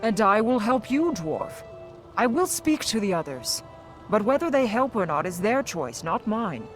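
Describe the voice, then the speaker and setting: Casting: proud fantasy game warrior woman, grave and formal delivery, over clear score. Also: mid-range voice, sing-song, measured pace, soft background music. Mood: proud, firm. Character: proud, solemn Norn warrior woman seeking redemption. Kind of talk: fantasy video game acting.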